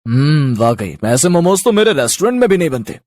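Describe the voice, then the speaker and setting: A man voicing a businesslike restaurant manager in a suit, talking quickly and directly. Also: fairly high pitch, very animated, a little mellow, no room sound.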